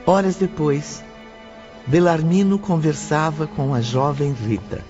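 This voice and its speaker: A man's voice: fairly high pitch, slow, darkish tone. A man reading scripted third-person narration for an audio drama. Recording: dull audio with faint music.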